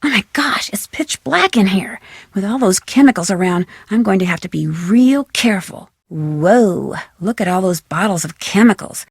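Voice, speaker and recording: A young American woman playing a plucky, curious amateur detective in a video game, with crisp, expressive, self-narrating delivery. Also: mid-range voice, normal speed, no room sound, studio quality. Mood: startled, wary. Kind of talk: scripted video game character lines.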